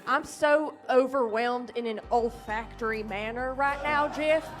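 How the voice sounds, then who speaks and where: A woman's voice: on the high side, measured pace, soft background music, natural voice. A woman playing a lone-wolf bounty hunter who rambles in an earnest, overly serious way.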